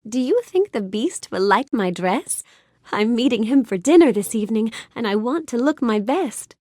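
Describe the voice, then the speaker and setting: A high-voiced, sweet young storybook heroine for a video game. Her lines swing melodically with bookish curiosity and polite warmth, gentle and full of wonder, in a close, dry studio sound. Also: normal speed, no room sound. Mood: nervous, hopeful. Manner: gentle, wonder-filled, courteous, expressive delivery. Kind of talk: animated game character dialogue.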